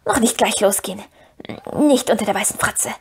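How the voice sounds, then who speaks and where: A woman voicing a wretched, scheming little male cave creature from a fantasy story, with a hoarse, whispery croak and a cringing, drawn-out whine. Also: mid-range voice, slight background noise, close to an everyday voice. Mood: whining, wary. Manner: whining, drawn-out, pleading.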